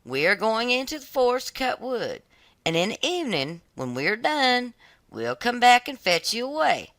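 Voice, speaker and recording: Storybook stepmother, played by a narrator: hard, scolding woman, pitched up, bossy and animated. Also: moderate pace, no room sound, clean studio sound.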